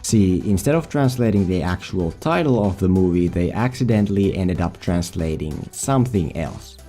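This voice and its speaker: A man's voice: deep voice, animated, normal speed, warm tone, faint music behind. A man who is not a native English speaker, giving sarcastic, mock-dramatic scripted commentary as a film video host. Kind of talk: scripted comedic voice-over commentary.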